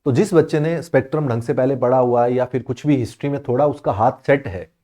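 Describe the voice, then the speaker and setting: A man explaining history exam questions to students, reading the English text slowly and then explaining it in Hindi, with many check-ins for understanding. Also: medium pitch, mellow. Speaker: exam coaching teacher.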